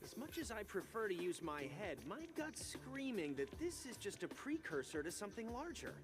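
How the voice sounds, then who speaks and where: A man's voice: high-pitched, sing-song, normal speed. An actor voicing a brainy, analytical young male mutant turtle who speaks thoughtfully and precisely. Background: game music.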